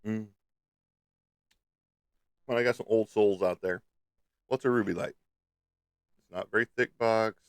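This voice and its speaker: A low-pitched man hosts a casual livestream in a close, dry sound. He is slightly stuffed up and talks to viewers in a playful, teasing way. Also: fairly even intonation, a little slow, long pauses, smooth, no echo. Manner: playful, teasing, peppered with sung sound effects. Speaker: livestream host.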